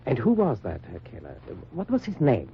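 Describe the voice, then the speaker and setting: An actor playing a suspicious German husband who probes a stranger deliberately and curtly in an old radio drama. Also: male voice, mid-range voice, huge pitch swings, over loud music. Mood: guarded. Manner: deliberate, probing, curt. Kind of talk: 1940s-50s radio drama acting. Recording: dull, noisy old radio recording.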